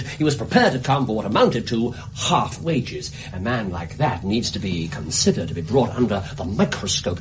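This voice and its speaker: An actor playing a brilliant, brisk Victorian English gentleman detective in a British radio drama, precise and theatrical in manner. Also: mid-range voice, slight background noise, natural voice.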